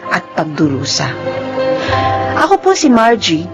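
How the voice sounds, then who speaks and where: A woman's voice: on the low side, huge pitch swings, measured pace, music in the background, heavily acted. An actress playing a hurt, proud single mother in a radio drama, with a Filipino accent and emphatic delivery. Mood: resolute, reflective.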